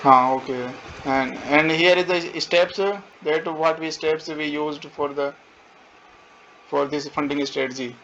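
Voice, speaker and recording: A man's voice: a little high, fairly even intonation, unhurried, sharp tone. A young man with an Indian accent, leading an informal group discussion as he presents. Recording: muffled, faint background noise.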